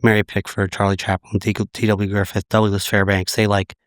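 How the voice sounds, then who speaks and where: A man in his late thirties, a podcast guest, slightly raspy from a recent illness, talking in a relaxed, reflective way. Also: on the low side, fairly quick, no room sound, studio quality. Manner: relaxed, reflective, many "like" fillers. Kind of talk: casual interview chat.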